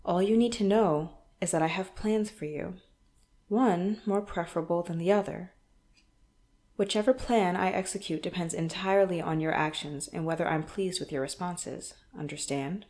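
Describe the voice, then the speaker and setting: A woman playing a smug, menacing crime boss in an audio roleplay, speaking deliberately and tauntingly with cool politeness. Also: on the low side, darkish tone, lightly acted. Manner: deliberate, taunting, coolly polite with threatening undertone. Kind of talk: audio roleplay acting, addressed to the listener.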